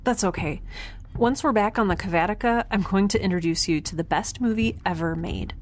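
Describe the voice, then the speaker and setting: An actress playing a curious young scientist in an audio drama, chatty, awkward and earnest in a naturalistic way. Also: female voice, mid-range voice, brisk, a little hiss or hum, close to an everyday voice. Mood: nervous, joking. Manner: chatty, awkward, earnest, rambles when nervous. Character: curious, nerdy, rambling young female scientist heroine.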